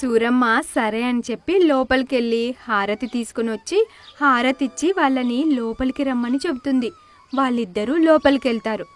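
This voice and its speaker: High-voiced woman narrating a story. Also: moderate pace, faint music behind.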